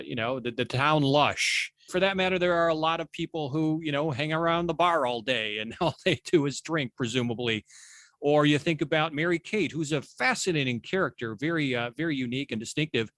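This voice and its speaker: A man hosting a podcast, asking questions in a measured, conversational way with small asides. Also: fairly high pitch, fairly bright tone, no room sound. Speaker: podcast host. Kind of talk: conversational interviewing.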